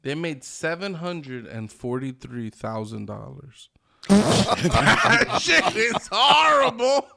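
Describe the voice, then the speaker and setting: Give this man a fairly high voice with very lively, swooping intonation. He chats casually as a podcast co-host, pushing his points emphatically and tossing in slangy swearing. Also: slow pace, vocal fry, crowd noise. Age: adult with a child. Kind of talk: casual group podcast chat.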